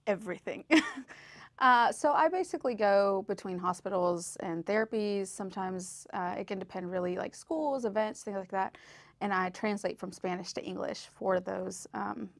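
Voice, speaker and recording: A woman's voice: medium pitch, moderate pace, gravelly, no echo. Woman from southern mexico, podcast guest, easygoing, lots of ums, mid voice, dry sound. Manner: relaxed, frequent ums and uhs. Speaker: podcast guest, medical interpreter. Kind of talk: casual storytelling.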